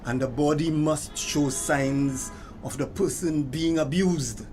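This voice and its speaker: A man's voice: on the high side, slow pace. A man with a Caribbean accent playing an evasive, placating policeman and family friend in a TV drama. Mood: measured, resigned. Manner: hesitant, defensive, placating. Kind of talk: TV drama dialogue. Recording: music clearly behind the voice.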